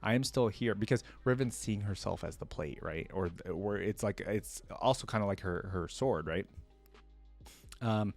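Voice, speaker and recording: A man with a fairly low voice works through a fantasy story aloud as a reaction host. His delivery is informal, shifting between plain narration and casual commentary, often checking in with small tag questions. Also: normal speed, vocal fry, a little mellow, soft background music.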